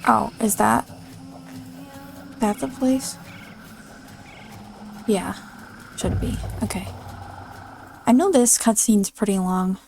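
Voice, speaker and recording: Chatty woman with a creaky voice. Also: medium pitch, takes it slowly, long pauses, music in the background. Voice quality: chatty, giggly, self-deprecating. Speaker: streamer playing a video game. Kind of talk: casual let's-play commentary.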